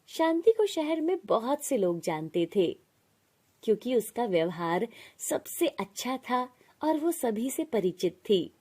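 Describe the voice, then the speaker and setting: A woman's voice: a little high, sing-song, a little slow, a little mellow, slightly rough. A woman reading a scripted moral story as its narrator.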